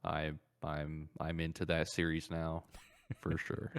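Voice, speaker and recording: A man's voice: extremely low pitch, completely flat delivery, slow pace, clear, resonant tone. A man hosting a podcast, chatting casually about video games and often circling back to repeat his phrases. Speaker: podcast host.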